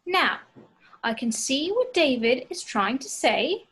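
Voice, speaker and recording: A woman's voice: high voice, sing-song, takes it slowly, a bit bright, slightly rough, full character voice. A woman reading the part of an exasperated but level-headed young peacemaker in an amateur table read of a film script. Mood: placating.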